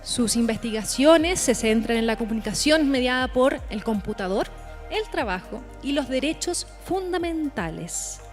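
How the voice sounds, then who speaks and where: A woman hosting a live conference, introducing each speaker and talking to the audience in a slightly high voice. Soft music plays in the background of the large hall. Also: expressive, normal speed. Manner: announcing speakers, addressing the audience. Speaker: event host. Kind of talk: live event presenting.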